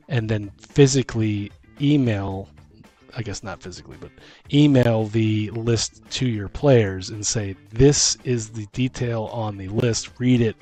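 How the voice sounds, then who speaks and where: A man co-hosting a casual conversation about tabletop games, feeling his way through sentences haltingly. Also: lowish voice, darkish tone. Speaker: podcast co-host.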